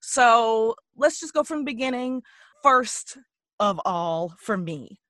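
A woman hosting a casual podcast, bubbly and gushing as she introduces her guest with quick asides. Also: fairly high pitch, lively intonation, fairly bright tone, no room sound. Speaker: podcast host.

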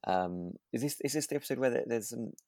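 A man's voice: medium pitch, animated, quick pace, dark tone, dry recording, studio quality. A man co-hosting a podcast, chatting casually and a little hesitantly as he searches for words. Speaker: podcast co-host. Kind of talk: relaxed casual chat about a radio drama.

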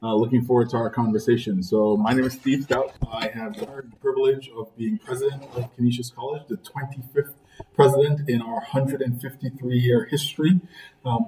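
A middle-aged man from Trinidad and Tobago speaks with a mellow, warm tone, measured and earnest. He builds his answers by repeating key phrases. His voice sits a little back from the mic. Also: mid-range voice, slight background noise. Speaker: college president, podcast guest. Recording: voice picked up from a distance.

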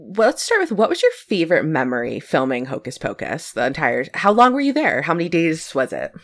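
An enthusiastic woman co-hosting a podcast, laughing often as she talks with her guest. Her pitch swings widely across each sentence, and the recording is dry and close to the mic. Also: wildly swooping pitch, fairly quick, no room sound. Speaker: podcast co-host. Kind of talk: casual podcast interview.

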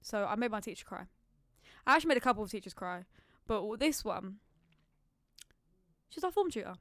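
A woman's voice: mid-range voice, expressive, fairly quick, a bit bright, no room sound, clean studio sound. A young adult British woman chatting casually as a podcast co-host, telling anecdotes with slangy, sweary, acted-out quotes.

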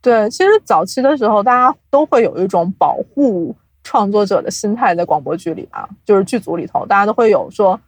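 A young adult woman chatting casually as an interview guest, explaining things from experience with animated storytelling and self-deprecating humour. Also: medium pitch, brisk, few pauses, breathy edge, dry recording. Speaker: podcast guest, audio drama producer.

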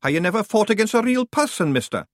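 A man voicing a gruff, weary little warrior in a storybook reading. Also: high-pitched, big pitch swings, fast, dry recording, studio quality, voice pushed up.

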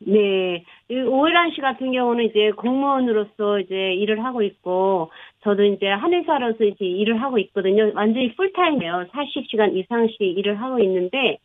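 A woman's voice: mid-range voice, expressive, fairly quick, few pauses, dry recording. Woman in her sixties on a phone line. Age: 60s. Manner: earnest, explanatory, with frequent fillers. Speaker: interview guest, marathon runner. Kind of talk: radio interview.

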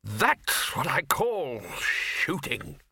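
A blustering, commanding older wizard in charge, played by a male narrator in a storybook comedy. He is hearty and emphatic, with raised, swooping pitch and a forceful bright tone. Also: sing-song, extremely slow, gravelly, breathy edge, dry recording, exaggerated voice.

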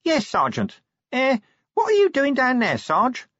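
A man's voice: very high voice, huge pitch swings, measured pace, fairly bright tone, dry recording, muffled recording, voice pushed up, full character voice. A man voicing a cheeky, grubby male watch corporal in an audiobook, chatty and matey in informal working-class speech. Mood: obedient, startled. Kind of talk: audiobook character voice.

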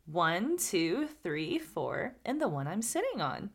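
A woman reading the part of a canny, slightly vexed female guinea fowl in a folktale, counting deliberately. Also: fairly low pitch, sing-song, a loud crowd, exaggerated voice. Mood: calm, canny.

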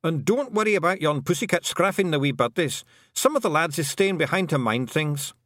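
A man's voice: a little high, expressive, a little fast, fairly bright tone, no echo, studio quality, pitched above the performer's own voice. A man doing a tiny, brash Scottish pixie chief. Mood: brisk. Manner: brash and wheedling. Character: tiny fierce male pixie clan chief.

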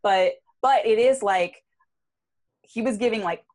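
A woman's voice: medium pitch, a little slow, a little mellow. A woman co-hosting a podcast, chatting casually with lots of "like" fillers. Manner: chatty, filler-heavy with frequent "like". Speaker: podcast co-host. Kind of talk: casual chat.

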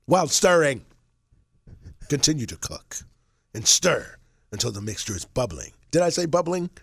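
A man's voice: on the high side, big pitch swings, normal speed, vocal fry, a bit bright, breathy edge, dry recording. A man on a morning radio show giving a mock-dramatic recipe reading, emphatic and repeating words for effect. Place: radio studio. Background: music under the voice.

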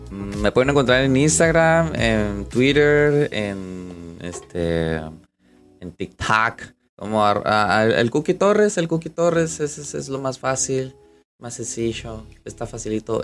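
Low-voiced Mexican man chatting as a guest. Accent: northern Mexican. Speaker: interview guest, stand-up comedian. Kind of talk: casual chat.

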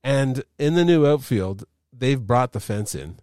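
Animated man talking sports. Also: medium pitch, unhurried, no echo, clean studio sound. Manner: animated, rambling storyteller. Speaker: podcast host. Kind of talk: casual sports chat.

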